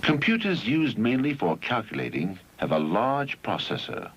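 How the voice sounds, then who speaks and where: Low-voiced male narrator, dull old film soundtrack. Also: wildly swooping pitch. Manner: measured, didactic, deliberate phrasing. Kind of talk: scripted educational film narration. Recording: dull, noisy 1971 educational film soundtrack.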